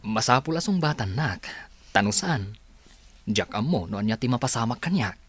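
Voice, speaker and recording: An actor playing a persistent, earnest young suitor in an Ilocano radio drama, insistent and emotive, with an Ilocano accent. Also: male voice, medium pitch, expressive, moderate pace, husky. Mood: ardent, pleading.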